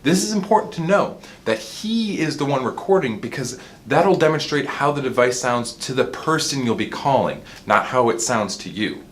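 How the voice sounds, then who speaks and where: A man's voice: mid-range voice, expressive, moderate pace, hardly any pauses. A man giving an informal, explanatory product demonstration as the presenter of a review.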